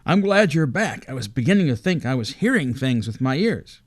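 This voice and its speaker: A man's voice: mid-range voice, big pitch swings, normal speed, no room sound, clean studio sound. A man voicing a mild, bashful talking polar bear with a wheezy voice.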